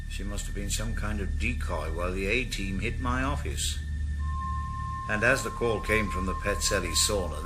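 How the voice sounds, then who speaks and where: A man's voice: lowish voice, close to an everyday voice. A man playing a retired ex-detective with a Welsh accent, speaking with a dry, wry sarcasm. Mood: musing. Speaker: lead actor. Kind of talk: 1980s radio drama acting. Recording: noisy location recording.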